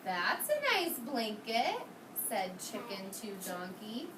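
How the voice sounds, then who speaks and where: A woman's voice: mid-range voice, big pitch swings, takes it slowly. A woman teaching kindergarten, reading a storybook aloud interactively, asking questions and praising her young pupils in an encouraging way. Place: indoor kindergarten classroom. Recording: roomy, distant mic, noisy classroom.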